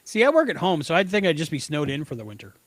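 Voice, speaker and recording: A man's voice: a little high, big pitch swings, fast, dry recording. A man co-hosting a podcast, chatting casually in a joking, offhand way. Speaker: podcast co-host. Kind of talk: casual banter about a show.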